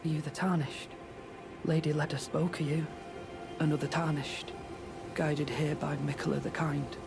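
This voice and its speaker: A man playing a gentle, diffident warrior in a fantasy game, with a creaky voice and hesitant, polite manner. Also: a little high, level tone, moderate pace, over music. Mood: diffident, friendly. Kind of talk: dramatic fantasy game dialogue. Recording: clear background ambience noise.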